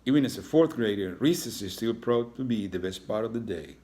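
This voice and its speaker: A man narrating a children's storybook aloud, reading with occasional halting stumbles. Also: fairly low pitch, lively intonation, a little mellow. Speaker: storybook reader.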